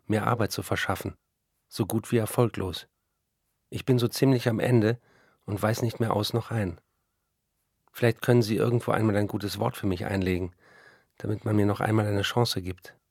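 Radio play: down-on-his-luck writer, earnest letters, slightly low pitch, dry studio. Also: dry recording. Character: struggling male writer pleading earnestly for work. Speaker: radio drama actor. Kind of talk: radio drama letter reading.

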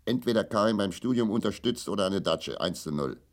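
Man as a deep-voiced, surly East German worker husband. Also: animated, fairly quick, close to an everyday voice. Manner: gruff, stubborn, blunt. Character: coarse, grumbling East German skilled worker and beer-drinking husband. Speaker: radio play actor. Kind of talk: grotesque radio play dialogue.

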